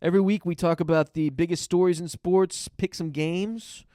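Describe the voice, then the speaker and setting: A man's voice: sits high, steady tone, moderate pace, dark tone, dry recording, studio quality. A man hosting a sports podcast, giving a casual, conversational promo.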